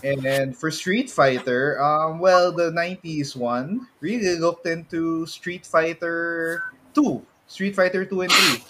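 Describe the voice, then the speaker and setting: A man's voice: a little high, takes it slowly, bright, forceful tone, slight background noise. A man with a Filipino accent co-hosting a podcast in a casual chat, often hesitating and repeating his phrases. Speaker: podcast co-host. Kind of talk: casual movie discussion chat.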